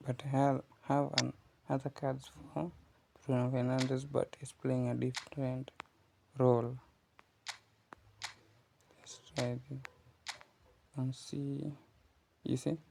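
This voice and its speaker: Man, Kiswahili speaker, unhurried, monotone, gravelly, patient gaming tutorial host. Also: medium pitch, noisy background. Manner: explains patiently to viewers, often asking "you see?". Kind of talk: casual gameplay tutorial commentary. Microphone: phone recording.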